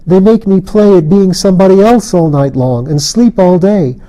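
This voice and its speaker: A man's voice: high-pitched, normal speed, warm tone, voice pushed up, lightly acted, low-quality recording. A man doing a wistful changeling girl. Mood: pleading. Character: fretful little changeling girl held by fairies.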